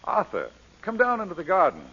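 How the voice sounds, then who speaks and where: An actor playing a lovestruck, persistent lord with urbane, theatrical speech in an old radio drama. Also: male voice, high-pitched, huge pitch swings, a little fast, fairly bright tone, breathy edge, exaggerated voice. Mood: playful. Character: lovestruck, persistent English lord, playful and self-mocking. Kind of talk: 1930s-40s radio drama acting. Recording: dull vintage radio broadcast.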